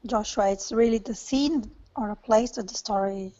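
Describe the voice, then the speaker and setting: A woman's voice: medium pitch, moderate pace, mellow. A woman speaking as a student in a casual online English class discussion. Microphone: tablet on a group call. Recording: dull, lo-fi online call audio.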